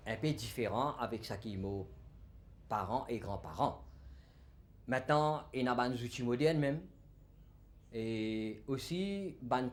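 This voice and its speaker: A middle-aged man with a Mauritian accent, a Tamil speaker, answering interview questions in a halting way, searching for words. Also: mid-range voice, a little hiss or hum. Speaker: tinsmith.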